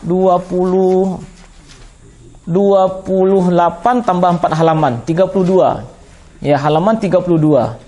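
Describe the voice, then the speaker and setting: A man's voice: high-pitched, fairly even intonation, unhurried, warm tone. A man with a Minangkabau Indonesian accent teaching a grammar class, explaining step by step and quizzing his listeners. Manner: didactic, repeats and quizzes listeners. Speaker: Islamic teacher of Arabic grammar. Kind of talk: classroom lesson, explaining with questions to students.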